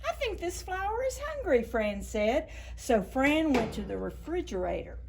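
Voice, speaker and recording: A woman reading stories aloud to young children as a storytime host, expressive and deliberate, stretching out key words. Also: high-pitched, creaky, noisy background. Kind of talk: read-aloud storytelling for preschoolers.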